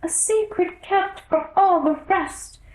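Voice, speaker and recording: A storybook rabbit courtier played by a woman, pitched very high above the reader's own voice. He is nervous and deferential, speaking in a careful, fussy sing-song. The sound has a roomy echo. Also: takes it slowly. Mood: earnest recital.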